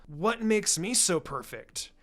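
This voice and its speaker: Guy doing a hyper teen ninja boy for a story, brash n informal, highish voice. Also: slow, heavily acted. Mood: puzzled. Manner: excitable, argumentative, informal. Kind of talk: storybook-style character reading.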